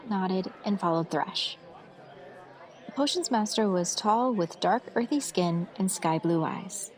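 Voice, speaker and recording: A woman's voice: mid-range voice, lively intonation, a little slow. A woman reading scripted fantasy story narration as the narrator of an audio series. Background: festival crowd ambience.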